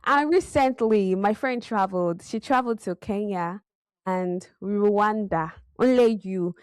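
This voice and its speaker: A woman's voice: fairly high pitch, dry recording. A young woman hosting a casual podcast chat, playful and teasing, laughing often. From Nigeria. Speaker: podcast host.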